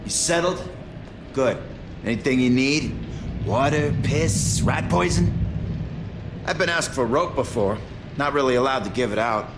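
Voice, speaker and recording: An actor playing a sardonic, callous asylum guard in a video game, casual and taunting. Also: male voice, medium pitch, lively intonation, unhurried, pauses often. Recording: loud ambient noise behind.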